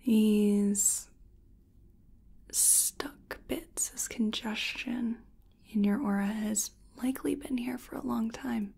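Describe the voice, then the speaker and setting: Female ASMR healer role: hushed, breathy whisper, unhurried pace, gentle and soothing personal attention delivery. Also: fairly high pitch. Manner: slow, gentle, soothing murmur. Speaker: ASMR roleplayer as energy healing practitioner. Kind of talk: soft-spoken ASMR personal attention roleplay.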